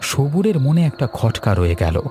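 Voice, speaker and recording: Lively dramatic male storyteller. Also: mid-range voice, normal speed. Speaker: narrator. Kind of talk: scripted ghost story narration.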